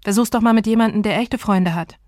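A woman voicing a sharp-tongued, defensive young woman who answers with curt, sarcastic retorts. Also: mid-range voice, quick pace, no room sound, studio quality, natural voice.

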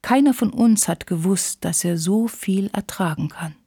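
Woman reading as a weary, soft-voiced old countess. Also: on the low side, measured pace, husky, dry recording, clean studio sound, full character voice. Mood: weary, patient. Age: older. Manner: patient, gentle. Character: frail, stooped old countess, tired, patient aunt.

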